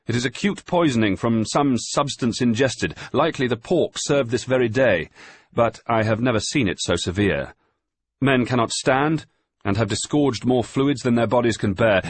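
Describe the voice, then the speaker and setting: A thin, serious ship's surgeon calling out over a storm, raised in pitch and volume yet measured, as a male narrator puts him on. The voice is husky and dry, recorded close. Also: very animated, no echo. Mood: shouting earnestly. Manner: shouting, earnest, measured.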